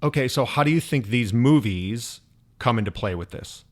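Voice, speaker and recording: A man's voice: medium pitch, animated, normal speed, a little mellow. A man co-hosting a conversational discussion, explaining things methodically and putting questions to his partner. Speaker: co-host. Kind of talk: conversational podcast-style discussion.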